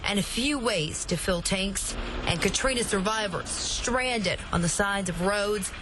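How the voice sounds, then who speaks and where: A woman's voice: medium pitch, unhurried. A woman narrating a scripted local television news report. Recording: noisy, with ambient background sound.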